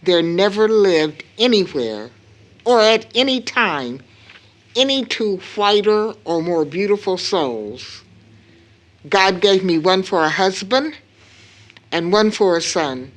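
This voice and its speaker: A grieving widowed mother in an audio drama. She speaks slowly at a middling pitch, solemn and deliberate. Her account is proud and heavy with loss, with weighted pauses between phrases. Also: expressive.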